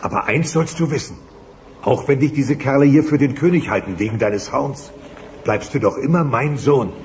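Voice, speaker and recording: An actor playing a soft-hearted, sulky dinosaur father, plaintive and melodramatic, in a children's audio play. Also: mid-range voice, moderate pace, close to an everyday voice. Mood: remorseful. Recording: muffled, noisy cassette-style audio.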